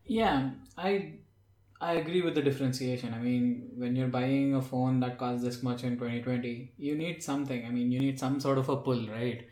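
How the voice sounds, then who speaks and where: A man's voice: mid-range voice, steady tone, moderate pace, a little mellow, reverberant. A man chatting casually as a panelist on a tech podcast.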